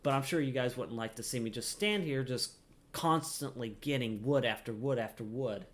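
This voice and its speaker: A man's voice: mid-range voice, moderate pace. A man giving casual, rambling livestream commentary over a game in a playful, chatty way. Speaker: game streamer.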